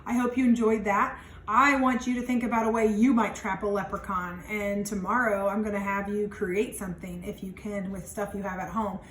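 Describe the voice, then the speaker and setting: A woman teacher reading a children's storybook aloud, pausing to explain things simply to young listeners. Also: mid-range voice, steady tone, fairly bright tone. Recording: roomy, distant mic, faint background noise.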